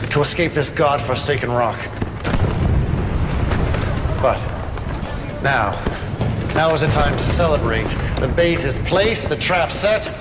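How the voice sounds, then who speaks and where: An actor playing a messianic, menacing yet fatherly cult leader in a game cutscene, with a pompous, measured delivery like a preacher's. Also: on the high side, lively intonation, loud music behind the voice. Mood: grandiose, fervent. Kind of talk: live-action game cutscene acting.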